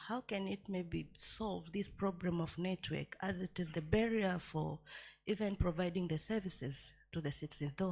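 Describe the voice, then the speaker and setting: Female delegate, Tanzanian accent, husky with some gravel, formal question from the audience. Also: lowish voice, a little mellow. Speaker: audience member asking a question. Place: conference hall.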